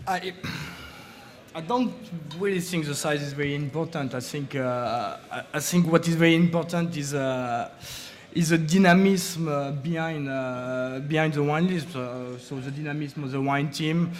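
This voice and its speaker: A man with a French accent, a native French speaker, speaking haltingly on a seminar panel about fine-dining wine lists, with many pauses. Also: a little high. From France. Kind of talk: panel discussion. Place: seminar room.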